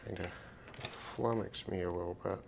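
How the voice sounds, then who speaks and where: A man casually narrating a hands-on repair demonstration, thinking aloud in an unhurried way as he works. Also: deep voice, steady tone, creaky, heavy background noise. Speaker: repair video host.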